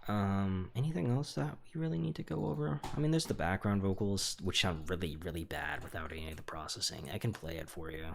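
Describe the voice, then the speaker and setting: A young man casually walking through how he produced his own song, rambling and relaxed with lots of fillers and hedges. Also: low voice, fairly quick, creaky, hardly any pauses, a little hiss or hum. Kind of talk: casual studio walkthrough. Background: faint music.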